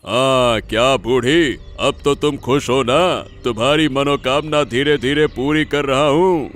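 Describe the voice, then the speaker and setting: A man plays a gloating cartoon villain. The delivery is sly and deliberate, slow-paced, with faint music behind it. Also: medium pitch, fairly bright tone. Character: sly, gloating male villain secretly granting dark wishes. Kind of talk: melodramatic Hindi cartoon story acting.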